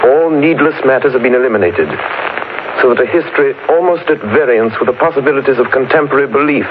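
Male actor as formal gentleman narrator, grave and measured, medium pitch, vintage radio drama, muffled crackly broadcast. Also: natural voice. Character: grave gentleman witness presenting documents to audience.

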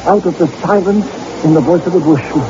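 Man as dread-gripped raving victim, high voice, repetitive feverish chant, radio horror, dull sound. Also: fast talker, noisy background, natural voice. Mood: haunted, feverish. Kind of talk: old-time radio horror acting. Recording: muffled old radio recording.

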